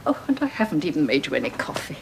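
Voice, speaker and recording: A woman's voice: low voice, big pitch swings, racing, gravelly, noisy background, full character voice. An actress playing a doting elderly mother who rambles and tears up easily, in a radio drama. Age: older. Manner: fussing, rambling, tearful.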